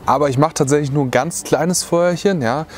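A man's voice: a little high, a little fast, slight background noise. A young man vlogging outdoors from under a tarp, chatty and rambling, with lots of filler words, talking to a close camera. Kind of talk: casual chat to camera.

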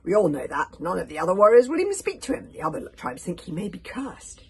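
Woman doing a loud blustering Viking warrior, hugely animated. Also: fairly low pitch, fast, a little hiss or hum. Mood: outraged. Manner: loud, roaring, grumbling. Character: grumbling, blustering Viking warrior man, scornful.

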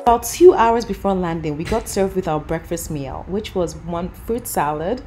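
A woman's voice: on the low side, moderate pace, over music. A woman in her thirties, talking casually and upbeat as a travel vlogger, full of little fillers. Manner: chatty, upbeat, lots of fillers like "you know". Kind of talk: casual vlog chat and voice-over review. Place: airport lounge, indoors. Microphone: camera held close at arm's length.

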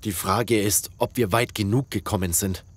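A man's voice: lowish voice, moderate pace, slightly rough. A man playing a protective, decisive soldier in a zombie audio drama. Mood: calm, guarded. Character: commanding male soldier, protective and decisive under attack. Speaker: voice actor.

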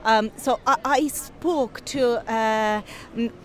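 A woman in her forties, originally from Ukraine, speaks English with a Ukrainian accent in an outdoor park interview, earnest and measured with frequent pauses. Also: a little high, a little hiss or hum. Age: 40s. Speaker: interviewee, scientist. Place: park outdoors, people milling behind.

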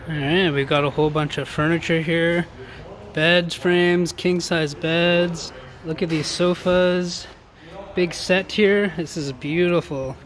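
A man's voice: a little high, steady tone, takes it slowly, a bit bright. A man presenting auction items in an informal promotional walkthrough, with enthusiastic, slangy sales patter. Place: large warehouse showroom, indoors. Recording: noticeable background noise in warehouse.

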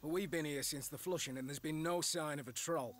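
A man's voice: fairly high pitch, fairly quick, fairly bright tone, natural voice. A man playing a sceptical, fed-up camp guard in a video game, complaining sarcastically. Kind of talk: video game cutscene acting.

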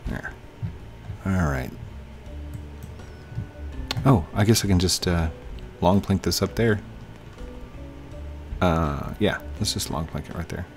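Man with a low voice, chatting to game pieces. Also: slow pace, pauses often, soft background music. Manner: chatty, talks to game objects, hums while working. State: slightly losing his voice. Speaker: gaming channel host. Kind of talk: casual let's play commentary. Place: home room, indoors. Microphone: headset.

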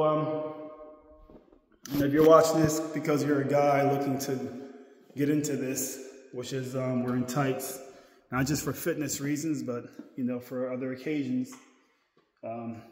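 A man in his thirties talks casually to a camera held at arm's length, wandering through a large indoor gym. His voice sits at a middling pitch, and he rambles, often restarting phrases. The room is roomy and echoey, with a long reverb trailing each line. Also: darkish tone. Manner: rambling, repeats himself while walking around. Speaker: fitness vlogger. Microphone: handheld camera at arm's length.

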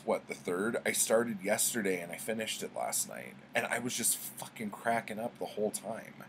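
A man's voice: fairly low pitch, a bit bright, a little hiss or hum. A middle-aged man chatting casually to camera as a book vlogger, rambling in a laid-back, slangy, sweary way. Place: small book-lined room at home.

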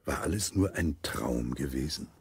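A man's voice: extremely low pitch, lively intonation, normal speed, creaky. A man narrating a German children's audio drama as a scripted storyteller.

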